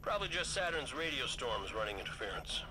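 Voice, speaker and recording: A man's voice: mid-range voice, very animated, brisk, natural voice, poor audio. A man playing a cocky, breezy pilot and tinkerer in a video game, casual and wisecracking. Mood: breezy confidence. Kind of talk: video game cutscene acting.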